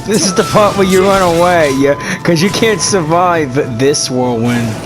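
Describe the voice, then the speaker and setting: A man's voice: fairly high pitch, moderate pace. A man giving casual commentary while playing a video game. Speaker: gameplay commentator. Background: game music.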